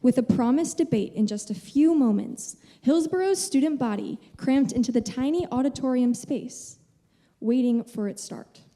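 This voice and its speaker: A woman's voice: high-pitched, moderate pace, warm tone. Woman narrating a school drama. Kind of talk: singing a show tune and narrating.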